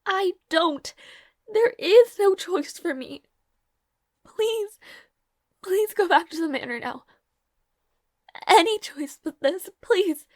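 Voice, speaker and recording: A woman playing a timid, devoted young maid in a dramatic audio roleplay, with halting, stammering, breathy speech. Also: extremely high pitch, no room sound, clean studio sound, full character voice. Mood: urgent, pleading.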